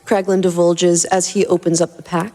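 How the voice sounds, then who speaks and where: A woman's voice: lowish voice, level tone, normal speed, mellow. A woman reading scripted story narration expressively as a podcast host.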